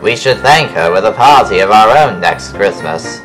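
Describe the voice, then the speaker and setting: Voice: low voice, animated, normal speed, rough recording. A performer voicing Toby, a kindly old male tram engine, in a fan audio drama. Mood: friendly. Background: loud music.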